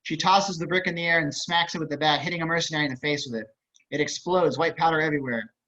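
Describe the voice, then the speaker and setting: A man with a fairly high, bright voice reads out stage directions in scripted narration, casual and wry. The audio is slightly dull, like a video call. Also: fast talker. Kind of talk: scripted narration at an online table read.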